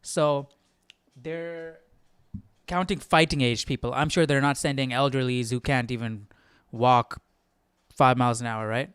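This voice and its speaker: A man's voice: medium pitch, steady tone, unhurried, a bit bright, clean tone, no echo. A man hosting a Bible study livestream, giving casual commentary and thinking aloud as he goes. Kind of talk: casual read-along commentary.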